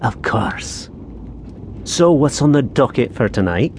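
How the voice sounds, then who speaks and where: An actor playing a wry, superstitious gravedigger's sidekick with an in an audio horror drama. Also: male voice, mid-range voice, very animated, slow pace. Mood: wry, conniving. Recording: clear background ambience noise.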